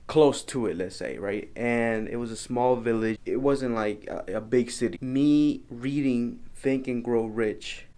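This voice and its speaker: A man's voice: medium pitch, a little slow, few pauses, dark tone. A young man from El Salvador, talking earnestly to camera from his home office, often repeating and restarting his phrases. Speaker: business vlogger. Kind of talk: casual vlog talk to camera.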